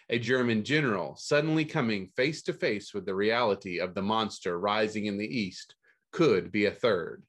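A man's voice: lowish voice, a bit bright. A man sitting in as host of a book podcast, reading scripted news and blurbs with dry, mock-confused humor.

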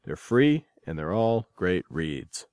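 A man's voice: deep voice, very animated, takes it slowly, a little mellow, no room sound, soft background music. A man reading scripted narration as the host and editor presenting a podcast.

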